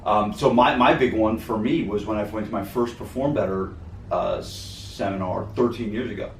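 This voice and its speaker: A man's voice: lowish voice, moderate pace. A man, a gym owner co-hosting a podcast, talking earnestly and rambling with many "like"s and "you know"s. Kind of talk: casual podcast talk. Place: podcast studio. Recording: roomy, mic at a distance, faint noise.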